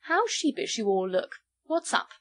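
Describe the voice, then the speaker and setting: A woman's voice: mid-range voice, very animated, a little slow, a bit bright, full character voice. Foppish male English aristocrat, played by a woman reader: languid, inane, placidly good-humoured, storybook style. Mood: placid, bantering. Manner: drawling, inane, affectedly bland. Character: foppish, lazily good-humoured English baronet, a tall man. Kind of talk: storybook character voice.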